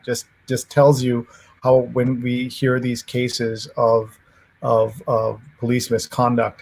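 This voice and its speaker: A man hosting an interview over a video call, speaking in a measured way with small restarts. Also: mid-range voice, poor audio. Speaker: host. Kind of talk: interview talk.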